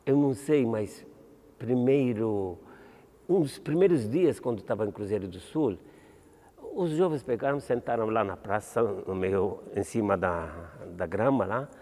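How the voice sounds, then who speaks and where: Older Maltese-accented priest, halting, low mellow voice, reverberant hall. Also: male voice, expressive. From Malta. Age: 70s. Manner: halting, with restarts and "entende?". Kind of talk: interview answers.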